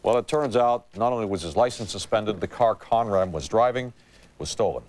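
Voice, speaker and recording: A man's voice: on the low side, fairly quick, a little mellow. A man reading scripted news as a television anchor. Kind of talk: scripted newsreading.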